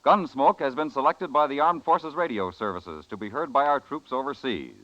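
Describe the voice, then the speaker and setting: Animated man announcing on vintage radio. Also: mid-range voice, moderate pace, dry recording. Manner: formal, emphatic. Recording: muffled old radio broadcast recording.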